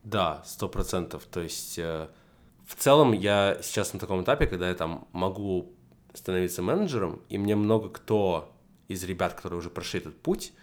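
Deep-voiced young man explaining at length. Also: lively intonation, normal speed. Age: young adult. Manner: structured, explanatory, many fillers. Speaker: podcast guest. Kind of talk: casual conversation.

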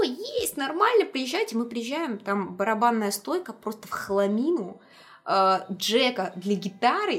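Woman musician as interview guest: slightly high voice, casual and slangy, expressive storyteller who acts out quoted lines. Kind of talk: casual chat, lively anecdotes.

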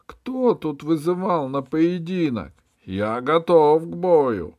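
A man voicing a brash, cocky young boy knight in a storybook, calling out loudly. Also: expressive, takes it slowly, no echo. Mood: bold challenge. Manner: loud, high calling.

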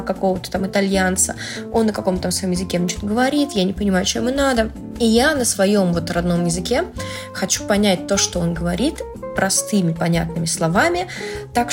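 A young woman from Russia, a translator, explaining things in a casual, playful vlog with slangy asides and animated emphasis on key words. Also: mid-range voice. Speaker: translator and Italian language blogger. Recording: music playing loudly under the voice. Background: background music.

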